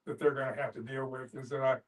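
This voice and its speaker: An older man talking in a halting, emphatic, folksy way in a casual group discussion. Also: mid-range voice, little pitch movement, distant mic.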